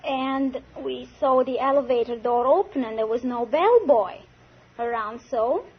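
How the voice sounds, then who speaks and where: Slow-talking giggly woman contestant. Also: high-pitched, husky. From Egypt. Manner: giggly, unhurried storytelling. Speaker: quiz show contestant. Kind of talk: casual storytelling to a host. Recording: muffled 1950s broadcast with hiss.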